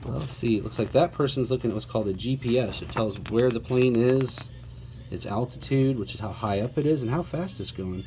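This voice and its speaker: Male teacher for picture book read-aloud, mid-pitched, breaking off for conversational asides and questions to kids. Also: brisk, dark tone, slight background noise, poor audio. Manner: prompts listeners with questions and asides. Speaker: teacher reading aloud to children.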